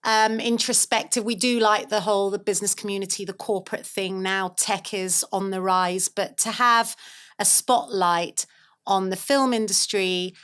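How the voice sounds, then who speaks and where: A woman's voice: mid-range voice, fairly even intonation, moderate pace, a bit bright, clean tone. A woman hosting an interview, asking long enthusiastic questions full of fillers. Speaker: podcast host. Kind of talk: casual interview conversation.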